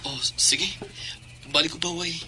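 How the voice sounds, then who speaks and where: A woman's voice: sits low, fairly even intonation, moderate pace, background noise, lightly acted. A woman playing a casual visiting neighbour in a horror audio drama. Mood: subdued.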